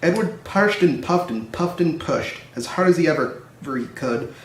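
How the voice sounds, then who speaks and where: Higher-voiced man reading in an echoey room. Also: expressive, moderate pace, a little hiss or hum. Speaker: storybook reader. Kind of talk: scripted children's story narration. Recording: roomy echo, distant microphone.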